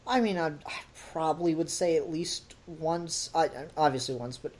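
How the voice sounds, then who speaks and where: A man's voice: fairly high pitch, normal speed, darkish tone. A man co-hosting a podcast, casually talking through a critique in an analytical, questioning way. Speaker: podcast co-host reviewing audio dramas.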